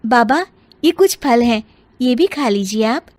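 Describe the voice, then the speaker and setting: Young wife for a storybook tale, voiced by a woman: high, expressive, sweet on top, mischievous underneath. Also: unhurried, no room sound. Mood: polite. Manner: expressive, musing aloud. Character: sly young daughter-in-law, sweet-spoken, mischievous.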